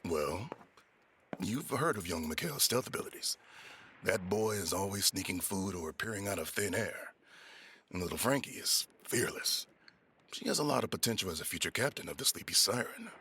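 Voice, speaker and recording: Guy doing a loyal pirate second-in-command, deep forceful voice, reasons patiently, bit teasing, faint music behind, audio drama. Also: animated, moderate pace, slightly rough, exaggerated voice. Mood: earnest, persuasive. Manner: persuasive, deferential yet teasing. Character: loyal, reasoning male pirate quartermaster, soft-hearted.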